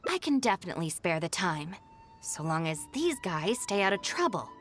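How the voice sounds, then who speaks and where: A woman's voice: fairly low pitch, very animated, normal speed. A woman voicing a capable, exasperated young woman in a video game, blunt and no-nonsense. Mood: composed.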